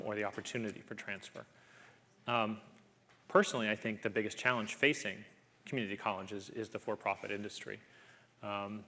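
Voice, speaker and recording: A man giving a university public lecture and then answering audience questions in a measured, thoughtful way, with frequent ums and uhs. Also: lowish voice, creaky, fairly bright tone. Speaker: guest lecturer, professor.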